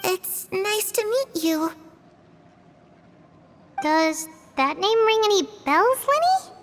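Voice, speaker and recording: A woman's voice: very high voice, animated, takes it slowly, noisy background, full character voice. A woman voicing a friendly, innocent little orphan girl in a video game, sweet and polite, over music.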